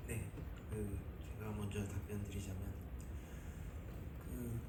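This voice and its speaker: A man, a film director, answering slowly at a press conference and searching for his words. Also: fairly low pitch, flat delivery. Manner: halting, searching for words, many fillers. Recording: echoey hall from far off, background noise.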